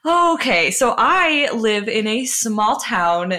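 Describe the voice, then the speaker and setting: A woman's voice: a little high, sing-song, slow pace, sharp tone. A woman in her twenties with an American accent, chatting casually and telling informal stories as a podcast co-host. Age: 20s. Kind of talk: casual chat.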